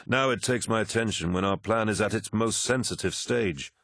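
A man voicing a commanding, brooding warlord in an audiobook, stern and deliberate. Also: fairly low pitch, creaky, a bit bright, no echo, clean studio sound.

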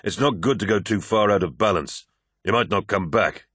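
Man playing a low, restrained warrior advisor. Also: a bit bright, breathy edge, dry recording, studio quality. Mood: controlled, advising. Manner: measured, cautioning, restrained. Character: calm, methodical male warrior advising a volatile comrade. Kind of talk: dramatic science-fiction audiobook dialogue.

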